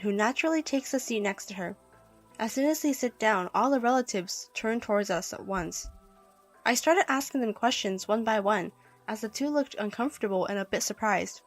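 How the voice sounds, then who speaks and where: A woman reading a scripted first-person story as narrator. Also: medium pitch.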